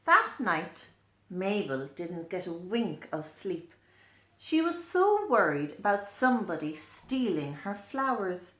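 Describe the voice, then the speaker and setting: Female children's storyteller, unhurried and animated, explanatory asides, roomy sound. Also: medium pitch. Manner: slow, explanatory, pointing things out to young listeners. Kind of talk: expressive children's picture-book read-aloud with asides. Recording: echoey room.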